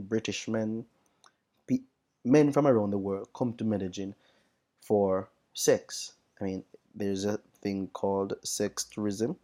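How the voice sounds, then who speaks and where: A man's voice: fairly low pitch, warm tone. A man with a Jamaican accent talking casually as a teacher reflecting on life abroad, emphatic and repeating phrases to stress them. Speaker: teacher sharing experiences of working abroad.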